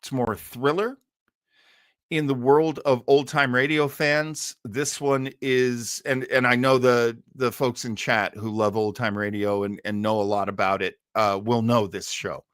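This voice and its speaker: A man hosting a livestream, chatting casually to viewers in a halting, enthusiastic way. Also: mid-range voice, fairly even intonation, fairly bright tone, dry recording, studio quality. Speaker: livestream host. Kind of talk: casual live chat to viewers.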